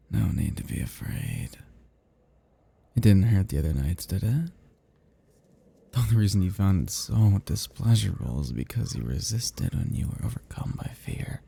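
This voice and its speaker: A man's voice: lowish voice, creaky, warm tone, breathy edge, exaggerated voice. A man playing a seductive, possessive vampire in an intimate ASMR roleplay, teasing and menacing, with archaic turns of phrase. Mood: teasing, coaxing.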